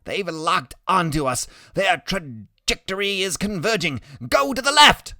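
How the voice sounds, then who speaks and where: Man voicing a high, excitable brainy little boy. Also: drawn-out words, dry recording, clean studio sound, full character voice. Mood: urgent. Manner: exclamatory, dramatic, spouts scientific words. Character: small, brainy, excitable boy, bossy and fearless. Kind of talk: audiobook character voice.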